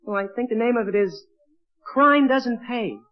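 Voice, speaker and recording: A woman playing a brisk, instructing homemaker in an old radio comedy. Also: a little high, lightly acted. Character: brisk, instructing adult woman sending a boy on an errand. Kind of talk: 1940s radio comedy acting. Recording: dull, band-limited old radio recording.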